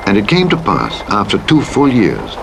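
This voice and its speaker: A man reading scripture aloud in a measured, solemn way, with rain and engine noise behind. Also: on the low side, huge pitch swings, creaky. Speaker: scripture reader.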